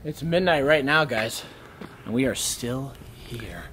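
A man's voice: mid-range voice, expressive, slow pace, a bit bright, slight background noise. A young man hosting a night vlog in a stadium, talking playfully to viewers. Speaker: vlogger. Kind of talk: group vlog narration.

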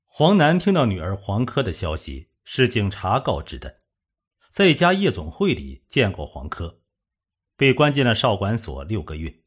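A man with a husky voice reading a scripted suspense story as an audiobook narrator. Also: lowish voice, big pitch swings, normal speed.

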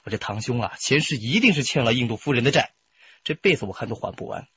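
A man's voice: mid-range voice, quick pace, slightly rough, exaggerated voice. An actor playing a talkative young rural man who defends his cousin under questioning. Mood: chatty. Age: young adult. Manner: chatty, animated, defensive. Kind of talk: broadcast radio drama acting. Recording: dull lo-fi broadcast audio.

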